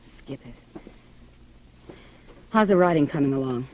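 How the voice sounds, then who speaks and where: A woman's voice: a bass voice, lively intonation, unhurried, a little mellow, a little hiss or hum, poor audio. An actress playing a jaded, nervy newswoman and mistress in a 1940s radio drama, sarcastic and clipped. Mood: bitter, sardonic.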